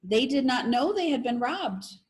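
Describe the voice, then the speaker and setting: Storybook boy magician, played by a woman: courteous, high-minded, formal and precise in his wording. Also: sing-song, measured pace. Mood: formal, earnest. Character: polite, formal, earnest boy magician with noble ideals.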